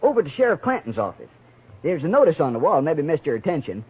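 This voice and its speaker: An actor playing a chatty, nosy frontier express clerk with an American accent and folksy, rambling talk in a 1950s radio western. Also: male voice, a little high, wildly swooping pitch, fast talker, slightly rough, full character voice. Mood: nosy, helpful. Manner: folksy, rambling, drawn to gossip. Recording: tinny, narrow old radio recording.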